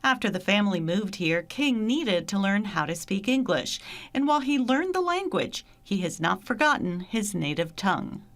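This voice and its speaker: A woman reporter narrating a news package in a steady, even voice-over. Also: mid-range voice, normal speed.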